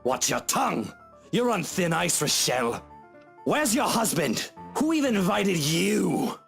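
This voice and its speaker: Pompous high-pitched rich host. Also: male voice, sing-song, measured pace, gravelly, fairly bright tone, soft background music, heavily acted. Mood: angry, scolding. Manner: pompous, theatrical. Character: smug rich male host, grandiose and hot-tempered. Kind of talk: video game voice acting.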